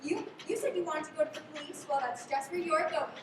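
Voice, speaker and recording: A woman's voice: high voice, fast talker, lightly acted. A young woman playing a skeptical, headstrong young lady in a live radio play, arch and indignant. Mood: defiant. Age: young adult. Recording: echoey, noisy livestream, distant mic.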